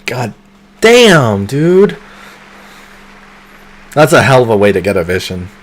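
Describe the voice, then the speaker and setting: A man streaming a game live, reacting with loud excited exclamations and swearing. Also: medium pitch, slow pace. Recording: faint game music behind.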